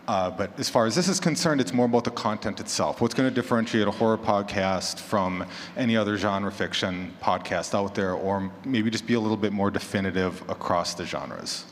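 Lower-voiced male panelist in a reverberant hall. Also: fairly quick. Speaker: panelist, horror podcaster. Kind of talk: informal panel talk. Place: large indoor conference session room. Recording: reverberant hall with faint noise.

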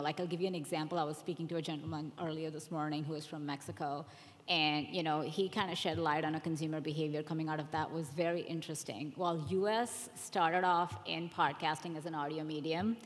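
A woman on a conference panel giving her opinion in an emphatic, conversational way. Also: low-pitched. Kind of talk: panel discussion, personal opinion with examples. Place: conference hall, live panel. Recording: echoey hall with long reverb.

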